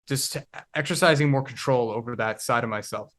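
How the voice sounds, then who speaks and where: A man's voice: medium pitch, fairly bright tone. Reflective man in his twenties. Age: 20s. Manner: reflective, halting, searching for words. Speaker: podcast guest. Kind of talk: casual conversation.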